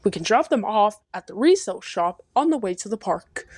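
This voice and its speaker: A woman voicing a brisk, kindly nanny in a storybook, organizing small children. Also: medium pitch, expressive, a little mellow, breathy edge, no echo. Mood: brisk, cheerful.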